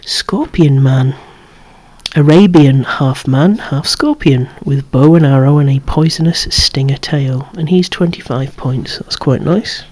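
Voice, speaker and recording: A person whispering an ASMR show-and-tell of a collection in a hushed, breathy, unhurried way, musing aloud with wry asides. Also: mid-range voice. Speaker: toy collector presenting a collection.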